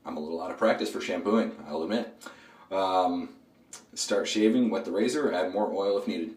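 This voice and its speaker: A man with a low, hoarse voice from a throat cold, chatting in a relaxed, rambling way with plenty of ums and uhs. He talks a bit away from the mic in a bathroom, so the sound bounces around the tiled room. Faint running water and household noise sit behind him. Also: moderate pace, echoey room, recorded from a distance. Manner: relaxed, rambling, many fillers. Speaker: shaving video host. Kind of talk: casual product review while shaving.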